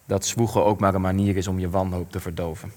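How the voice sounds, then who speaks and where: An actor playing an elderly war survivor in a radio play, grieving and ashamed, speaking in measured, weighty phrases. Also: male voice, low-pitched, level tone, a little mellow, natural voice. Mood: bitter reflection. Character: elderly male war survivor, grief-stricken, ashamed, plain-spoken. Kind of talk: radio play acting, testimony. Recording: long hall-like reverb.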